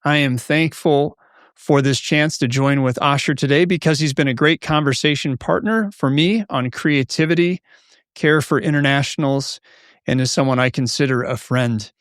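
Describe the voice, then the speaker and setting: A man hosting a conversational podcast for pastors, reading a measured introduction and then asking questions. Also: fairly high pitch, clean tone, no echo, studio quality. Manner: measured, warm formal welcome, reads intro. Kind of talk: scripted intro then interview.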